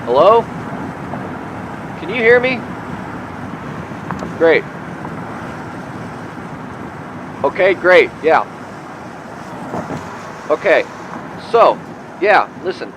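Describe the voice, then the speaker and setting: An animated, rambling office supervisor. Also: male voice, a little high, highs cut off, noisy background. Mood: brisk, upbeat. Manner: halting, rambling, expressive. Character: chatty, awkward office supervisor briefing a new intern. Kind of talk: conversational audio drama monologue.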